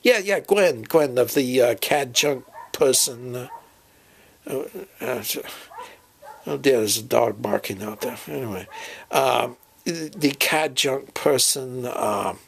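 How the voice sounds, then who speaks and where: A man voicing a fussy, forgetful professor in a comedy sketch, halting, muddled and repeating himself. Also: mid-range voice, vocal fry, a bit bright, slightly rough, full character voice. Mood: muddled, deliberating. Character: doddering, fussy, forgetful male professor planning an expedition. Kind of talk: improvised comic dialogue sketch.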